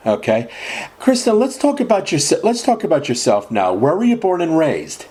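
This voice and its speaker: A man's voice: a little high, huge pitch swings, moderate pace, dark tone, husky. A man hosting an interview show, asking formal questions and often repeating them. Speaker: podcast host.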